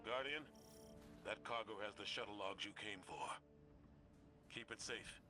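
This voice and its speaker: An actor playing a stern, righteous older military commander in a video game, solemn, measured and used to giving orders. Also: male voice, lowish voice, over music, close to an everyday voice. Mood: grave, urgent. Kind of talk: dramatic video game acting.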